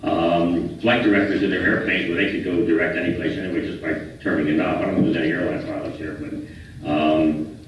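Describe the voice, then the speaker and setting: Older american guy in his 70s giving a club talk, low voice, really echoey room, keeps restarting phrases. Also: steady tone. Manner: halting, repeats and restarts phrases. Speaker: guest speaker, retired air traffic controller. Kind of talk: informal lecture to a club. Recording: roomy, distant, dull, faintly noisy hall recording.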